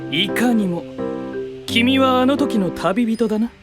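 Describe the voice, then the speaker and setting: An actor playing an aloof, blunt young knight with a curious mind, speaking in a terse, self-assured way in an anime-style audio drama. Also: male voice, very high voice, measured pace, loud music behind the voice.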